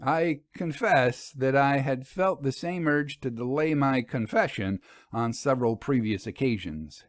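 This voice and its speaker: A man narrating a scripted first-person story in a measured, literary manner. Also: medium pitch, dry recording, clean studio sound.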